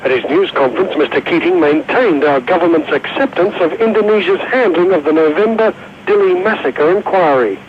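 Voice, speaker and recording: A man reporting for television news in a crisp, formal cadence. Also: sits high, lively intonation, fairly quick. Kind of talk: scripted news report voice-over. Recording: dull old broadcast tape with faint hiss.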